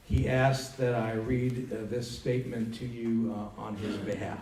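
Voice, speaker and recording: A man giving a public address as a spokesman, with a formal, measured delivery. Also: mid-range voice, little pitch movement, darkish tone. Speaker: congressman's communications director. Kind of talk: public speech, reading a prepared statement. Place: indoor town hall meeting. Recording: echoey hall, distant mic, faint noise.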